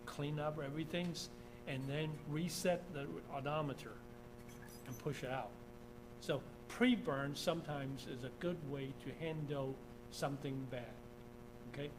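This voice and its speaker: A man from Taiwan giving an informal engineering lecture over slides, often checking in with "okay?" and filling pauses with "uh". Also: fairly high pitch. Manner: informal, repeats "okay?", many "uh" fillers. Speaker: engineering professor. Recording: steady background hum.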